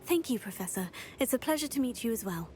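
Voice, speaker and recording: Higher-voiced earnest young witch student played by a woman. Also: animated, fast, a little mellow, lightly acted. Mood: polite, resolute. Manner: polite, composed. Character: earnest, self-assured teenage girl witch student. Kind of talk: video game drama acting.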